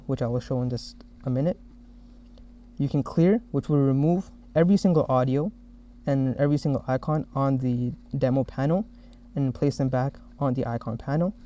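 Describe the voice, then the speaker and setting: Mellow-voiced man presenting a software demo, dull audio. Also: medium pitch.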